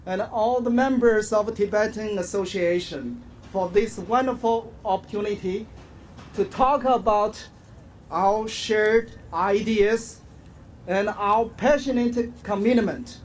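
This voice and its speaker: A man's voice: sits high, poor audio. A man from China with a Chinese accent, giving a solemn, formal prepared speech as an activist at an outdoor rally. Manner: solemn, formal, deliberate phrasing. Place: outdoors in front of a public building. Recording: faint outdoor ambient noise. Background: faint ambience.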